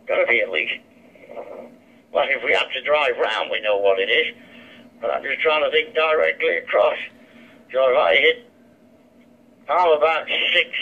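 A man chatting bluntly and informally as a radio ham, heard through a digital radio transmission. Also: fairly high pitch. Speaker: amateur radio operator. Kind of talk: casual two-way radio chat. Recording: dull digital handheld radio speaker.

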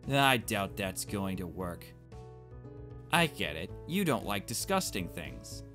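A man's voice: sits low, big pitch swings, takes it slowly, sharp tone, heavily acted. A man voicing a flippant, evasive teenage schoolgirl from a visual novel, with breezy, exasperated teen talk. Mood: dismissive. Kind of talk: visual novel character read aloud. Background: game music.

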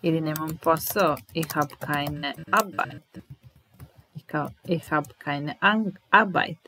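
A woman in her thirties with a Serbian accent, teaching German slowly, repeating each phrase while typing, in a small room at home. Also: low-pitched, steady tone, bright, forceful tone, a little hiss or hum. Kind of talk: slow, deliberate language lesson.

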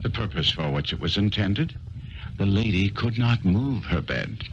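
An actor playing a shrewd, self-assured detective in a 1970s radio drama, speaking with precise, deliberate authority. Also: male voice, on the low side, animated. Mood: probing, grave. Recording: muffled, noisy old radio broadcast.

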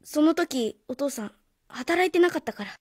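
A woman voicing a troubled, hesitant schoolboy in a radio drama, speaking haltingly in short phrases. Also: high-pitched, animated, a little mellow. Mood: sad, confessing. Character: troubled, hesitant schoolboy protective of his father. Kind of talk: Japanese radio drama acting. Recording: faint music behind the voice.

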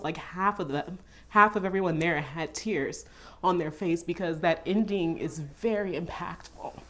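Actress being interviewed, slightly low female voice, casual storyteller who voices her inner thoughts.

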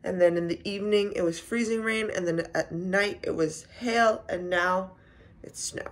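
A woman in her twenties with a slightly hoarse voice, chatting casually in a vlog with frequent asides, recorded on a phone indoors. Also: mid-range voice. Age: 20s. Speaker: vlogger. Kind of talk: casual vlog chat to camera. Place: small living room indoors, on a couch. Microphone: phone at arm's length.

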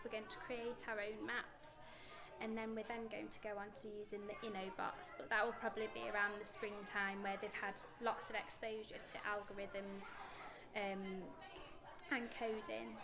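A woman's voice: medium pitch, creaky. A British woman in her thirties, a primary school teacher explaining her classroom practice in an interview.

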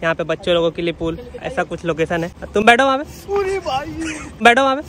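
A man's voice: high-pitched, lively intonation, a little fast. A young man in his twenties hosting a casual vlog, chatting and joking with viewers while holding the camera at arm's length outdoors. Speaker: vlogger host. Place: outdoors at a pool resort and in a shop. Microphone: handheld camera at arm's length. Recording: crowd noise outdoors.

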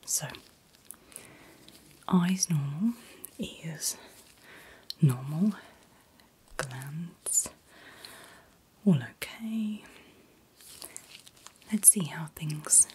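A middle-aged woman speaking in a gentle, breathy whisper in short soft phrases, as a doctor in an ASMR roleplay. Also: low voice, animated, drawn-out words, long pauses, slight background noise. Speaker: ASMR performer playing a doctor.

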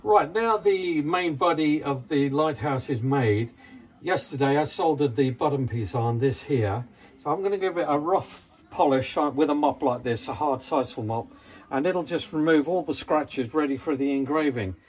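A man's voice: on the high side, normal speed. An older man, a silversmith, explaining each step plainly as he works at his bench in a small workshop. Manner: practical, plain-spoken, step-by-step.

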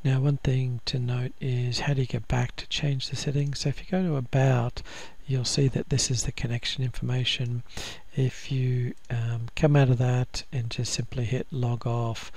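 Man with a flat, gravelly voice. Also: mid-range voice, moderate pace. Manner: casual, with frequent ums and restarts. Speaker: software trainer. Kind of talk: screen-recorded software tutorial.

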